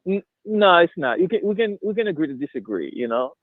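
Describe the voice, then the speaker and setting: Stammering Haitian-born caller on phone line. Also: male voice, sits high, big pitch swings, fast talker, no room sound. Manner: hesitant, stammering restarts. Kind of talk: casual debate.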